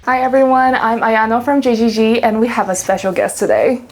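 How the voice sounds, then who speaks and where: A woman's voice: fairly high pitch, brisk, a little hiss or hum. A woman hosting an interview, asking polite, somewhat hesitant questions. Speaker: interviewer, host.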